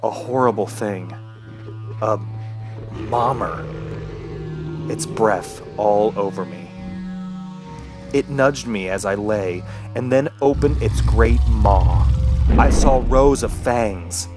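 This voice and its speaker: An actor playing an injured, thirsty trapped man, narrating his ordeal in a brooding, halting, dramatic way for a horror radio play. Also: fairly low pitch, music in the background. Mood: haunted.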